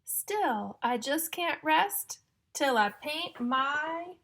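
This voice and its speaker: A woman reading a picture book aloud to young children in a sing-song, exaggerated way, pausing to ask and explain like a teacher. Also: high-pitched, expressive, a bit bright. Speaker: children's story-time reader and teacher.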